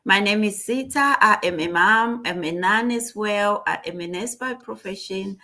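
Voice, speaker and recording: Zimbabwean-accented woman introducing herself, proud, mid pitch. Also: moderate pace, bright, forceful tone. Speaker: guest panelist. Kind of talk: casual live-stream introduction.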